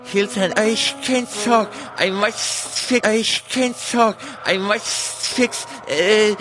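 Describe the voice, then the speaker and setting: An actor voicing a beaver motel keeper in a cartoonish adventure game, with a drawn-out delivery. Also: male voice, high voice, music in the background. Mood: unhurried.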